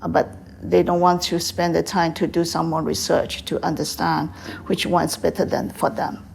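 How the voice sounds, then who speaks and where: An older woman from Taiwan with a Taiwanese accent, answering interview questions in halting English with frequent fillers. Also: lowish voice, flat delivery, vocal fry, a little mellow, a little hiss or hum. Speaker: guest, tea house owner.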